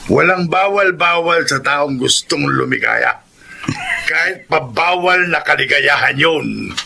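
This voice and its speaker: A pleasure-loving Filipino schemer in a radio drama. He talks slowly and insinuatingly in a creaky, piercingly bright voice, coaxing others into mischief. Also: a little high, lively intonation, slight background noise, exaggerated voice. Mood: sly, coaxing. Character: sly, pleasure-seeking older pal egging on mischief.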